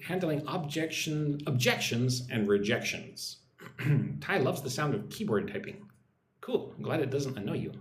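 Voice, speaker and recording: A man's voice: medium pitch, echoey room. An American man teaching English on a live stream, reading out viewer comments and sounding out words for listeners to repeat. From United States. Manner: reads viewer comments aloud, models pronunciation slowly.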